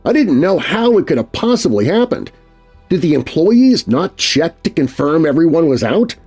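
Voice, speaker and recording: A man reads a spooky story for children in a lively, expressive voice that rises and falls a lot. He drops to a hush for the tense moments. Faint music sits low behind him. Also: moderate pace, slightly rough. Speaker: narrator. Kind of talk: scripted storytelling narration.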